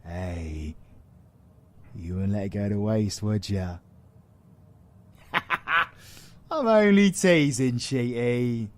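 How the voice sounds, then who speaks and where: A man's voice: fairly low pitch, extremely slow, pauses often. A British man playing a cocky, foul-mouthed supervillain in an audio roleplay, crude, teasing and sarcastic. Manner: crude, teasing, sarcastic, heavy slangy swearing. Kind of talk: audio roleplay acting addressed to the listener.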